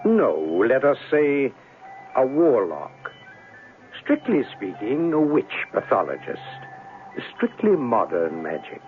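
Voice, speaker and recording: An actor playing a suave, eccentric warlock in a 1970s radio drama, courteous and sly, with ornate theatrical phrasing. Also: male voice, mid-range voice, wildly swooping pitch, slow pace, soft background music, exaggerated voice. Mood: sly, soothing. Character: suave, eccentric male warlock and witch doctor. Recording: lo-fi, noisy old radio broadcast.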